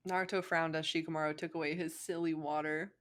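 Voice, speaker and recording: Young female fan fiction narrator, slightly low pitch, playful, giggly, informal banter. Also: flat delivery, quick pace. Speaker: co-host, fan fiction reader.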